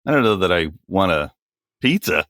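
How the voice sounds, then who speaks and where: A man's voice: sits low, very animated, moderate pace, fairly bright tone, dry recording, clean studio sound. A man in his forties co-hosting a podcast, joking bluntly and swearing casually. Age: 40s. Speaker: podcast co-host. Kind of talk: casual chat.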